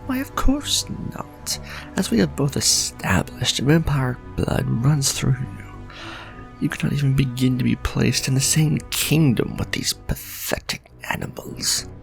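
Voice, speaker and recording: A man playing a proud, ancient vampire lord in a gothic romantic roleplay, courtly and menacing, speaking in formal, grandiose phrases with breathy edges. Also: mid-range voice, animated, faint music behind. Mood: imperious conviction. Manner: formal, archaic, grandiose, dramatic deliberate phrasing.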